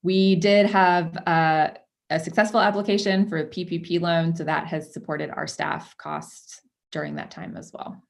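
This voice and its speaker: A woman's voice: fairly low pitch, steady tone, normal speed, creaky. A woman giving a prepared report as a board chair at a public meeting. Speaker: outgoing chair of a market board. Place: video conference call.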